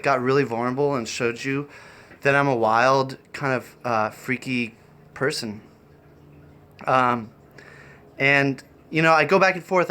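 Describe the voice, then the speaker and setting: A man chatting off the cuff on a livestream, rambling and halting in an eccentric way. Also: medium pitch, pushed and bright. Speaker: live streamer and variety performer. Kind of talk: improvised livestream chat.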